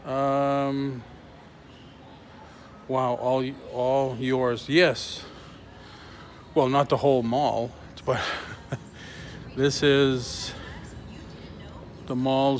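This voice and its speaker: A middle-aged man chatting casually on a live vlog from inside a shop, in a halting way, recorded on a handheld phone. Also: mid-range voice. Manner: halting, reading and answering comments. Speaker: toy reseller livestreaming. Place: large concrete-floored antique mall booth indoors. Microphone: phone held at arm's length or closer. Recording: handheld phone with clear ambient noise.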